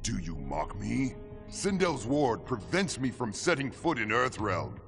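A man's voice: medium pitch, big pitch swings, a little slow, creaky, full character voice. A man playing a menacing, contemptuous warlord emperor with a commanding manner, in dramatic game acting. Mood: angry. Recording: loud music behind the voice.

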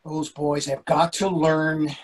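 Older man acting a husky, fretful husband. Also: a little high, flat delivery, slow pace. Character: anxious, fretful middle-aged husband and father. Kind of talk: readers theatre play reading. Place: indoors, on a video call.

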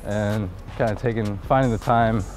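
Laid-back young guy talking while walking around outside, kinda low voice, music playing behind. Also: moderate pace. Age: young adult. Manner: relaxed, laid-back, lots of fillers. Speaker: college baseball player. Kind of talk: casual interview while walking. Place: outdoors on a sunny college campus.

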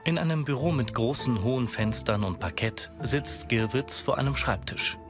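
A man's voice: medium pitch, expressive, normal speed, music in the background. A man reading scripted audio-description narration in a factual manner. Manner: factual, present-tense scene description.

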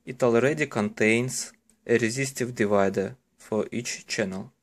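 A man explains a technical procedure step by step in English. His delivery is slow and careful, with halting phrasing and simple wording, like a hobbyist giving instructions. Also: mid-range voice. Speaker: electronics hobbyist giving a tutorial. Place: indoors at a desk, hands-on demonstration behind the camera.

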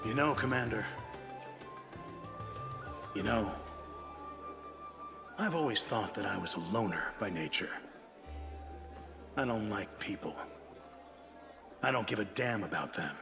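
An actor playing a world-weary, guarded aging dwarf assassin in a video game, gruff and measured, with a hidden tender side. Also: male voice, fairly low pitch, expressive. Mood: guarded affection. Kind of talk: scripted video game dialogue acting. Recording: game music behind the voice.

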